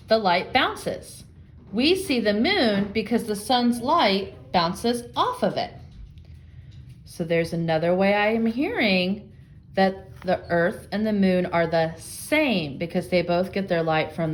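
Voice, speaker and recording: A woman working as an elementary reading teacher, reading aloud and explaining to young children, thinking out loud and posing guiding questions. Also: mid-range voice.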